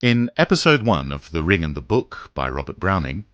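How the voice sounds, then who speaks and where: A man's voice: low voice, very animated, moderate pace, a bit bright, dry recording, lo-fi sound. A man reading a scripted introduction as a radio drama announcer.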